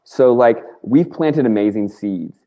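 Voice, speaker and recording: A man's voice: mid-range voice, animated, measured pace, muffled recording. A man talking as a guest on a talk show, rambling casually and earnestly through his stories in informal, slangy language. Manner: informal and slangy, with frequent "like" and mild swearing.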